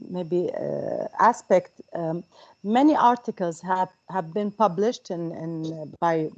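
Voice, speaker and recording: A woman's voice: fairly low pitch, darkish tone. A woman from Kuwait politely commenting in a webinar, hesitating often with ums and restarts. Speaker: participant asking question. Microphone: video call. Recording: dull lo-fi call audio.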